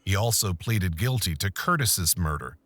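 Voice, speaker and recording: A man narrating a true-crime documentary in a measured, scripted storytelling manner. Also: low-pitched, animated, a bit bright, no room sound.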